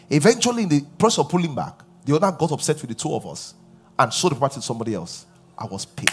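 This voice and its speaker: Pastor, man in his forties, husky, pitch leaps around while preaching on a big stage, faint music under. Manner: animated preaching, rising loud on key points, asking the crowd questions. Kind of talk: sermon. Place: large church stage with live audience.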